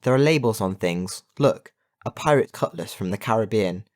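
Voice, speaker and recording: A man's voice: fairly low pitch, animated, moderate pace, close to an everyday voice. A man voicing a curious, adventurous child in a children's audiobook, in short, eager exclamations.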